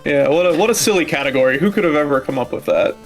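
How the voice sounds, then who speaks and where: A man's voice: fairly high pitch, lively intonation, quick pace, faint music behind. A man giving informal, explanatory live commentary on a game race.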